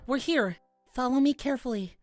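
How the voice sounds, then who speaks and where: A shy young man of the forest, played by a woman, from a fantasy visual novel. He speaks gently and bashfully in a fairly high voice, earnest and soft. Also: fairly quick, faint music behind, natural voice. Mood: excited, bashful. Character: shy, gentle young male forest dweller named Fawn.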